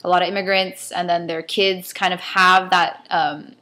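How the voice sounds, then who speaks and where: A woman's voice: fairly low pitch, level tone, moderate pace, bright, forceful tone. A young woman from California with a mixed, Chinese-influenced Californian accent, rambling hesitantly in a casual vlog rant. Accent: California, mixed with a Chinese-immigrant lilt. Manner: rambling, hesitant, thinking aloud with many ums. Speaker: vlogger.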